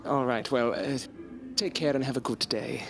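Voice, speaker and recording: An actor playing an absorbed, aloof, ambitious gentleman scientist in a gothic audio drama, with formal theatrical phrasing. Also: mid-range voice, normal speed, faint music behind, close to an everyday voice. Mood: distracted, polite.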